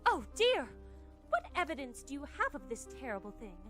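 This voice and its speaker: A woman voicing a scheming, sweet-talking lady villain who feigns innocence, genteel and theatrical, in an animated fan drama. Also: high-pitched, normal speed, soft background music, heavily acted. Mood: feigned shock.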